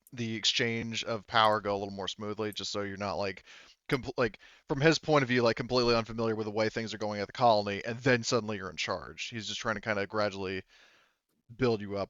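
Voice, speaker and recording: A man's voice: fairly low pitch, a bit bright, dry recording. A man explaining things casually as a tabletop game master, in a rambling way, on a slightly dull close mic.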